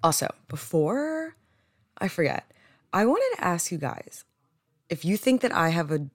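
A woman's voice: on the low side, very animated, a little slow, slightly rough, no echo, clean studio sound. A young American woman from New Jersey talking casually on a solo podcast, candid and rambling, with "like" sprinkled throughout. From New Jersey, United States. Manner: chatty, rambling, candid, frequent "like". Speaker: podcast host.

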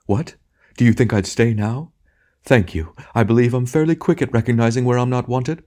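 A man's voice: medium pitch, expressive, moderate pace, mellow, voice pushed up, lightly acted. A man voicing a bullying, theatrical phantom tragedian in an audiobook, declamatory and melodramatic, full of grandiose self-pity. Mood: indignant. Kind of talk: dramatic audiobook character acting.

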